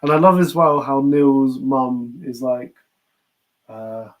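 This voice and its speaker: Man, British accent, podcast co-host, casual chatty recap, mid-pitched voice, quotes dialogue in passing. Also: slow pace, low-quality recording. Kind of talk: casual chat recapping a TV series.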